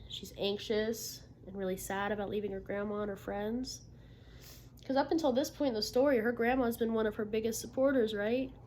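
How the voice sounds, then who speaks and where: A woman reading a picture book aloud to children like a teacher, expressively, pausing to put encouraging questions to her listeners. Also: medium pitch, darkish tone, a little hiss or hum. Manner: encouraging, checks understanding with frequent questions. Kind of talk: interactive storybook read-aloud with questions to the listeners.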